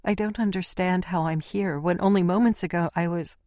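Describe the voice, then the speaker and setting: A man's voice: high-pitched, steady tone, a little fast, a little mellow, no echo, studio quality, voice pushed up, close to an everyday voice. A man voicing a frightened, confused young woman in an audiobook, speaking anxiously in a raised voice. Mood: fearful. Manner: anxious, questioning.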